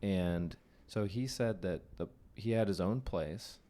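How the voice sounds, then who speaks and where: A young man with a very deep, low voice. He talks in a relaxed, wandering way, thinking aloud as he chats on a podcast and letting sentences trail off. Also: slow. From United States. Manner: relaxed, musing, trailing off mid-thought. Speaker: podcast host. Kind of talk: casual conversation.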